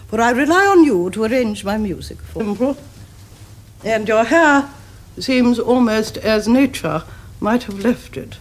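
Casting: woman to play older upper-class English Victorian aunt, domineering and pompous, highly animated pitch, period TV comedy. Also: natural voice. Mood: appraising, commanding. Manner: imperious, pompous, precise diction. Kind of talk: stage-like period comedy acting.

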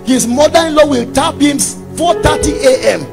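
A male preacher with a Nigerian accent delivers an impassioned sermon at a very high pitch. His voice is gravelly and emphatic, circling back on phrases for weight, with music playing clearly behind him. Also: normal speed. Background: background music.

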